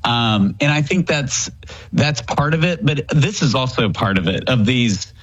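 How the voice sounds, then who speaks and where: A man speaking as a guest on a radio show, animated, laughing and jumping up in pitch when exasperated. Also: a little slow, highs cut off. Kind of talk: conversational radio interview.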